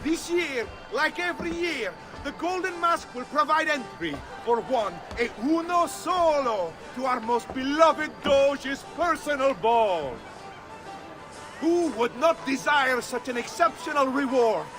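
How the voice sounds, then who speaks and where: An actor playing a flamboyant, grandiose carnival announcer with an Italian accent, proclaiming in a drawn-out, theatrical way for a game cutscene. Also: male voice, very high voice, expressive. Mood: rousing showmanship. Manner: proclaiming, drawn-out showman delivery. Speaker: games announcer. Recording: loud music and crowd behind. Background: music and crowd.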